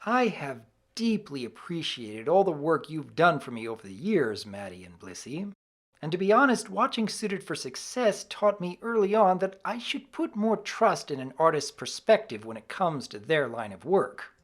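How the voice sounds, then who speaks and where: A guest commentator speaks in a mid-range voice with a very lively, swinging intonation. The scripted review is delivered in formal, measured wording. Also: mid-range voice.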